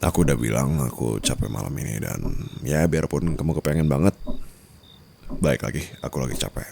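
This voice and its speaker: A man's voice: a bass voice, a little fast, gravelly, darkish tone, a little hiss or hum, pitched below the performer's own voice. A man playing a dominant, teasing rich husband in an intimate ASMR roleplay, taunting and possessive, mixing Indonesian with English. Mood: smug teasing.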